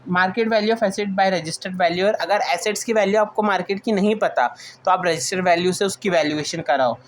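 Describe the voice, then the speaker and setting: A woman's voice: fairly low pitch, moderate pace. Indian woman teaching, faint background noise. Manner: explanatory, switches between Hindi and English terms, restates points. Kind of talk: informal exam lecture, explaining notes point by point.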